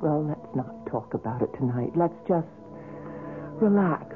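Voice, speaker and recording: An actress playing a gentle, patient, concerned companion in 1970s radio drama style, soothing and earnest. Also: female voice, deep voice, animated, unhurried. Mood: gentle, coaxing. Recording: narrow-band old radio recording, music.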